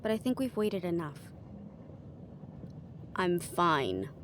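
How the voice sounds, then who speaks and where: A woman's voice: lowish voice, big pitch swings, slow, noisy background. A woman playing a guarded, weary young team leader in a fantasy audio roleplay, with a dry, casual delivery. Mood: defensive.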